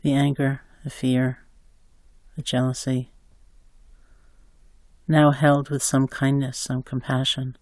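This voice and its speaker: A man slowly guiding a compassion meditation, calm, with long pauses between phrases. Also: mid-range voice, no echo. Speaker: meditation guide. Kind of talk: guided meditation.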